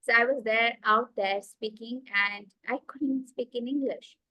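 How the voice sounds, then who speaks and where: A woman's voice: a little high, steady tone, a little slow, bright, forceful tone, dry recording, low-quality recording. A woman in her thirties with an Indian accent, a guest telling her life story eagerly over a video call, with many fillers. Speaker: guest, executive coach. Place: small room indoors.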